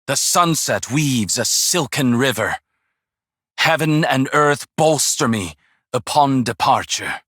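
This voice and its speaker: An actor playing a wise, weary, ageless martial arts master in a game, with measured, formal, poetic delivery and a husky voice. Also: male voice, mid-range voice, a bit bright, no room sound, studio quality, full character voice. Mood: resolute, commanding. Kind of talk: scripted game character voice lines, solemn and poetic.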